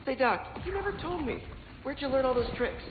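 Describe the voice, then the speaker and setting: An actor playing a cheeky, eager young superhero in a video game, chatty, playful and full of questions. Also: male voice, extremely high pitch, lively intonation, a little fast, narrow, tinny audio, over music. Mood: curious, teasing. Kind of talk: video game hero banter.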